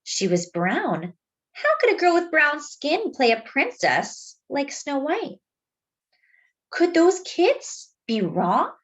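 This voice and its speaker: A woman reading a children's story aloud expressively, phrasing deliberately as if to young listeners. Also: a little high. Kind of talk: expressive picture-book read-aloud with asides to young listeners.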